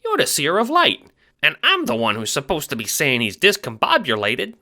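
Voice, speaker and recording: A man voicing a short, clumsy, brash but good-natured guard in an audio drama, with a squeaky chipmunk voice and an Italian-American accent. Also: wildly swooping pitch, normal speed, bright, forceful tone, exaggerated voice. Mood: brash, defensive. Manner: slangy gangster talk, brash.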